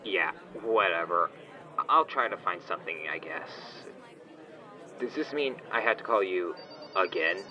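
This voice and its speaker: Man acting a low, distant, grumbling rude caller. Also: lively intonation, unhurried, crowd noise. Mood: grudging, bored. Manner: curt, dismissive, grumbling. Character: rude, impatient male customer phoning in complaints. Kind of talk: audio drama phone dialogue. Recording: distant phone call with busy outdoor background.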